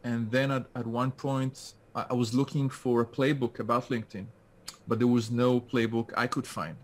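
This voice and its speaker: A man's voice: mid-range voice, little pitch movement, normal speed. A man, a guest on a call-in interview, speaking with many hesitations and restarts. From France. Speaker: guest, LinkedIn specialist. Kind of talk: casual interview over a call.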